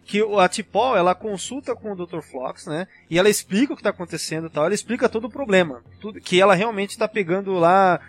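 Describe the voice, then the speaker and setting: A man's voice: high voice, quick pace, few pauses. A man with a Brazilian accent in a casual fan discussion, explaining things in an enthusiastic, rambling way as a podcast panelist.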